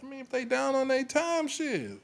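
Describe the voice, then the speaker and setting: A man's voice: very high voice, normal speed, vocal fry. An American man arguing his points casually on a podcast, blunt and slangy. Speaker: podcast panelist. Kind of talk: casual debate.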